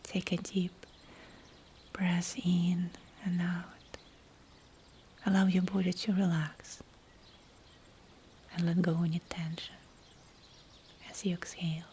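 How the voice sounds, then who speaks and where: A woman leads a guided visualization in a soft, breathy whisper. Her delivery is very slow and soothing, with a dark, mellow tone and hushed, spaced-out phrases. Faint nature ambience sits behind her voice. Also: on the low side, flat delivery, slight background noise. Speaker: meditation guide. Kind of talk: guided visualization meditation, scripted narration.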